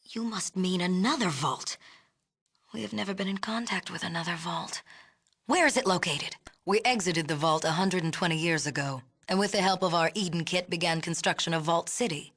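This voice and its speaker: A woman's voice: fairly low pitch, normal speed, fairly bright tone. A woman playing a haughty, imperious leader of a city in a video game, speaking formally and precisely with a condescending manner. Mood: formal, lecturing. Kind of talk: video game character dialogue.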